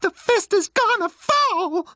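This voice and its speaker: Hysterical ghostly songstress, acted by a man, piercing falsetto, very high, wailing delivery, audiobook character. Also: expressive, bright, forceful tone, dry recording, studio quality, full character voice. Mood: hysterical singing. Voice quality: shrill falsetto. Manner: singing, drawn-out prophetic wailing.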